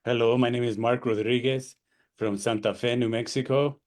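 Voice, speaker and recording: A man who works as a speaker and coach, introducing himself in a measured way with uh pauses. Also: medium pitch, fairly even intonation, a little mellow. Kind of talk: self-introduction.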